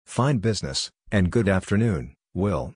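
A man with a low, slightly husky voice narrates a practice drill. He reads short scripted phrases deliberately, giving letters and call signs one at a time. The sound is dry and close, with very little room to it. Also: lively intonation, darkish tone, no echo.

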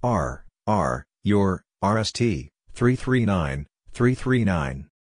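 Male narrator for a phrase drill: deep, slow, clipped and measured delivery. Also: big pitch swings, no echo, studio quality. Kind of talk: scripted read-out of short radio phrases.

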